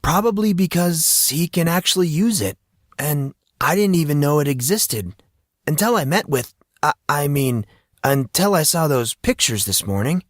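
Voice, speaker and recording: A man's voice: a little high, lively intonation, normal speed, no room sound, studio quality, voice pushed up. A man voicing a defensive, flustered fourteen-year-old schoolboy in an audiobook drama. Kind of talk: audiobook character dialogue.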